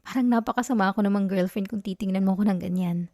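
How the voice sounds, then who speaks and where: A woman's voice: mid-range voice, quick pace, mellow, no room sound, studio quality, lightly acted. Babaeng gumaganap na malambing at possessive na girlfriend, malapit sa mic. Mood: wounded, guilt-tripping. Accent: Filipino. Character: clingy, possessive young student girlfriend, sweetly manipulative. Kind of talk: ASMR girlfriend roleplay. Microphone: close microphone, dry sound.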